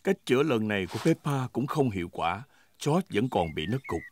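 A man's voice: on the low side, very animated, a little fast, very noisy. A man playing a cheerful storybook narrator, reading scripted narration for a children's cartoon. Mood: storytelling.

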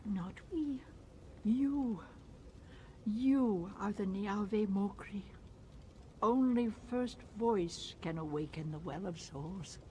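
Lady doing a slow solemn alien wise woman, mellow, cryptic, game voice, faint noise. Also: medium pitch, lightly acted. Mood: cryptic, commanding. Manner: cryptic, commanding, measured. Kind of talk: video game dialogue acting.

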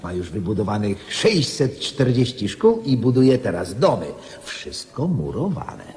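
An actor playing a deferential, fussy court marshal, hesitant and obsequious, in a radio drama. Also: male voice, medium pitch, huge pitch swings, unhurried, a little mellow. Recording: reverberant hall-like sound.

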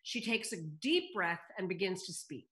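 A woman's voice: medium pitch, lively intonation, normal speed, a bit bright. An older woman reading scripted stage directions aloud over a video call. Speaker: table-read narrator reading stage directions. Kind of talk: scripted narration read aloud. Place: room at home.

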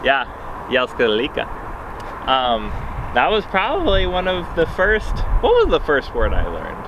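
Playful young man, lively voice. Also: mid-range voice, normal speed, dull audio, noisy background. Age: young adult. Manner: playful, laughs at his own jokes. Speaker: vlog co-host. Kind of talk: casual chat to camera. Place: open field outdoors on a sunny day. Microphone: camera mic on a tripod, medium shot.

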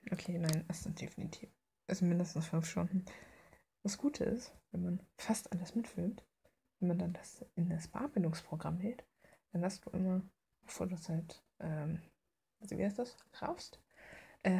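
A woman's voice: lowish voice, gravelly, dark tone, slightly rough. A woman chatting casually in an informal, rambling, self-deprecating way, as a video creator talking about her art. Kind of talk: casual chat over finished drawing. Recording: faint background noise with clicks.

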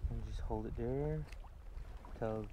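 Laid-back male fishing vlogger, warm mellow tone, talking through his gear outdoors with light background noise. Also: medium pitch, takes it slowly, poor audio. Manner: relaxed, explains step by step with fillers. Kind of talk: casual how-to narration while fishing. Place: outdoors standing in a river.

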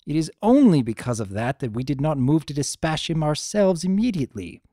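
A man's voice: a little high, big pitch swings, a little fast, dark tone, no room sound, clean studio sound. A man voicing a proud, formal pony princess, a concerned younger sister with archaic, emphatic speech in a dramatic story reading. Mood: grave, formal.

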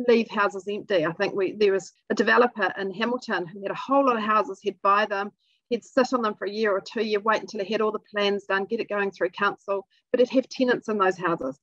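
A middle-aged woman explains her points in a measured way, pausing on "um". She sits in a small indoor room with a dry sound. The recording is slightly dull. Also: mid-range voice, no echo. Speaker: executive officer of an industry group. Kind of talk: interview explanation.

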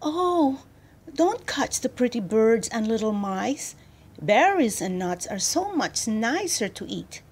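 Storybook heroine: tiny girl of five, played by a woman, high, lilting, gentle and polite. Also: very animated, slow, slightly rough, exaggerated voice. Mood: pleading. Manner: pleading, polite.

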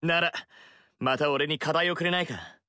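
An earnest, eager young warrior who speaks formally and politely. His voice sits a bit high for a man. The game studio recording is dry and close. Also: moderate pace, bright, forceful tone, no room sound.

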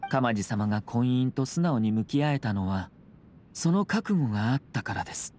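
An actor playing a polite young clan head in an anime game, speaking in a measured, formal way. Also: male voice, medium pitch, expressive, a little mellow, slight background noise, lightly acted. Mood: solemn, calm. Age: young adult. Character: composed, courteous young noble clan head. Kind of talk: Japanese anime game voice acting. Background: music.

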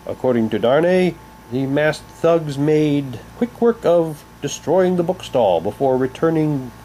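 A man's voice: a little high, lively intonation, unhurried, mellow. Man in a noisy home recording. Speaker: unboxing vlogger. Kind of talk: casual commentary, reading prop documents aloud.

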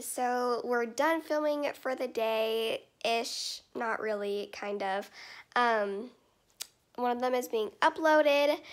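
High-pitched young teenage American girl. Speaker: vlogger. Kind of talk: casual vlog chat. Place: indoors at home, bedroom and kitchen. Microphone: camera or phone at arm's length. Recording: noisy handheld home recording.

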